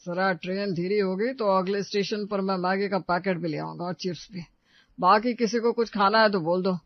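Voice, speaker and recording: A man's voice: high voice, fairly even intonation, normal speed, no room sound. A man playing a grumbling sarcastic husband. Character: grumbling middle-aged husband. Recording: dull dry voice-over.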